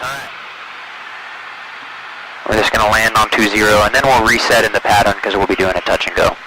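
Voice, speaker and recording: A man's voice: fairly low pitch, fairly even intonation, a little fast. Encouraging male flight instructor, noisy cockpit. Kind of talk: calm step-by-step flying instruction with radio calls. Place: small airplane cockpit in flight. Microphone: aviation headset. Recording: loud aircraft cabin noise, intercom audio.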